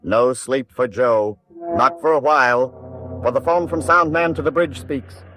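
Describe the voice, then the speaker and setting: A man's voice: mid-range voice, a little slow, bright, forceful tone, faint music behind. An American man narrating a war drama in a grave, emphatic 1940s radio style.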